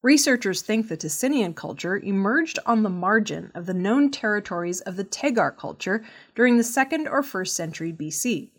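A woman presents scripted news in a medium-pitched voice. Her delivery is measured, and she pronounces technical terms with care. It sounds like a prepared narration read by a news presenter. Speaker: news reader.

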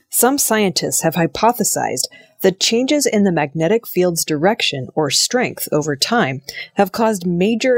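A woman reads audio news from a script in a fairly low voice. Her delivery is measured and formal, and the sound is close and dry. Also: no room sound.

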